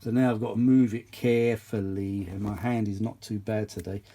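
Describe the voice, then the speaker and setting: A man's voice: on the low side, steady tone, moderate pace, dark tone. A British man giving casual, unscripted repair commentary in a rambling, grumbling, blunt way. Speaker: host of vintage audio repair channel.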